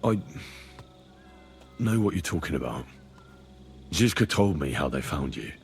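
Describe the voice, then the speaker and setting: A man's voice: rumbling low, sing-song, measured pace, vocal fry, soft background music, exaggerated voice. A man playing an earnest, brave young medieval adventurer in a game, plain-spoken and reassuring. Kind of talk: dramatic game cutscene acting.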